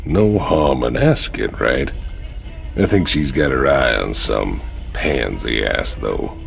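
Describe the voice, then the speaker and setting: A very deep gravelly Southern outlaw. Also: male voice, animated, slow pace, heavily acted. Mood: teasing. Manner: drawling, folksy, crude. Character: gruff boorish hulking male space outlaw, drawling and crude. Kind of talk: video game cutscene acting. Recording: music behind the voice.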